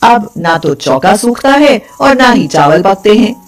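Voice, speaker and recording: A woman's voice: medium pitch, sing-song, unhurried, soft background music. A woman narrating a scripted folk tale as a storyteller.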